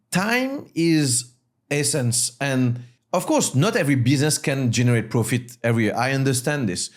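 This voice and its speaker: Man from Mauritius, mid-pitched voice, very lively intonation, emphatic, conversational interview guest. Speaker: guest, startup founder and CEO. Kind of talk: conversational interview, explaining his views.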